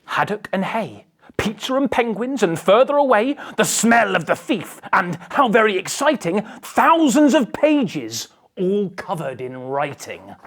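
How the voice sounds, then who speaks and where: A woman's voice: medium pitch, big pitch swings, measured pace. A woman reading a children's picture book aloud with theatrical, dramatic emphasis. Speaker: storybook reader.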